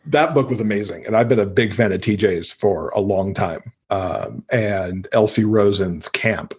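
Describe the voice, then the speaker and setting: A man's voice: lowish voice, a little slow, breathy edge. A man, middle-aged, talking casually and enthusiastically as a guest in an interview about books. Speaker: podcast guest, avid reader.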